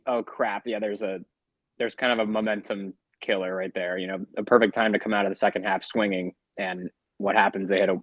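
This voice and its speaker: A man's voice: fairly low pitch, dry recording. Man chatting sports, dry close sound. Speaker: sports podcast co-host. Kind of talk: casual sports talk and game breakdown.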